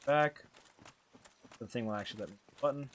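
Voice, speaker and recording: A man's voice: medium pitch, a little fast, fairly bright tone. A man with a Canadian accent giving casual live gameplay commentary, rambling and slangy as he thinks aloud. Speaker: game streamer.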